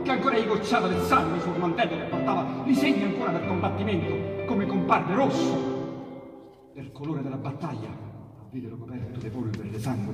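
A man with a Roman accent recites dialect poetry as theatrical storytelling, emphatic and full of dramatic pauses. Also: on the high side. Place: theatre stage. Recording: echoey hall, distant mic, faint music behind. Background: piano accompaniment.

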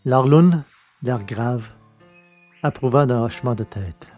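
A man narrates a novel in a measured, deliberate way. His voice is mellow and dark-toned, set at an average pitch for a man. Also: soft background music. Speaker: audiobook reader. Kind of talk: scripted narration of a science-fiction novel.

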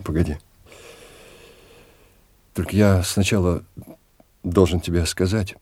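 An actor in an audio drama playing a desperate, bitter husband from the provinces, husky and halting, repeating himself with passion. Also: male voice, on the low side, darkish tone, dry recording. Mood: confessional.

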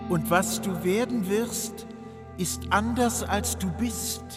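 An actress playing a mysterious fairground fortune-teller, speaking cryptically and like an incantation in a radio drama. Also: female voice, mid-range voice, over music, natural voice. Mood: ominous, prophetic. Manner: incantatory, drawn-out.

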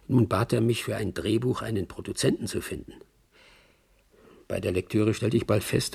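Husky, gravelly Hollywood agent. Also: male voice, lowish voice, a little mellow, exaggerated voice. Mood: puzzled, musing. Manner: wry, conversational, musing. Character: shrewd, wry middle-aged male Hollywood talent agent. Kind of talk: German radio drama narration.

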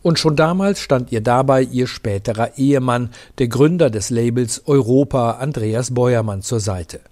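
A male reporter narrates a scripted radio feature. His voice is medium in pitch, with a soft, mellow tone. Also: moderate pace.

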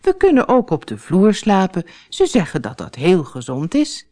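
A woman's voice: lowish voice, wildly swooping pitch, moderate pace, dark tone. A woman voicing a cheerful, optimistic old wife in a storybook fairy tale, upbeat and unbothered. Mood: cheerful, reassuring. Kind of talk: storybook character voice.

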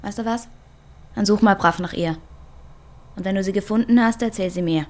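A woman's voice: mid-range voice, normal speed, a little mellow, close to an everyday voice. An actress playing a guarded, defiant young girlfriend in a radio drama, terse and evasive. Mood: defensive, defiant. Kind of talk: German radio drama dialogue.

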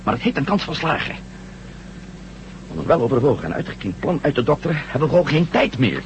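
A man acting in a Dutch radio drama as a bold, quick-witted former astronaut, persuasive and decisive. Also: mid-range voice, big pitch swings. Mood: determined. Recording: muffled, noisy old radio drama recording.

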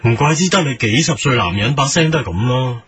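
A man plays a sceptical, dismissive bloke in comic dialogue. He has a mid-pitched Hong Kong Cantonese voice, with music clearly behind it. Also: animated, fairly quick, natural voice.

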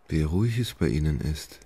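An actor playing a dreamy, hesitant gentleman in love in a radio play, with a husky voice. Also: very deep voice, full character voice. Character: dreamy, hesitant, lovestruck gentleman who puts things off.

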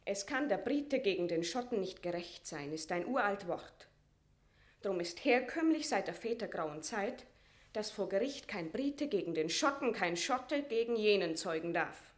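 A woman's voice: medium pitch, expressive, moderate pace, close to an everyday voice. An actress playing a proud captive Scottish queen in a classical radio drama, declaiming formal verse with eloquent, impassioned defiance. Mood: measured, reproachful. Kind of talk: classical German stage verse radio acting.

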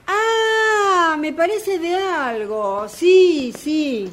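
Slow, theatrical Argentine woman fortune teller, acted. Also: extremely high pitch, animated, full character voice. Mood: evasive. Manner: drawn-out reactions, theatrical, placating. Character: evasive, self-assured woman fortune teller humoring her client. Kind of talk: radio drama acting.

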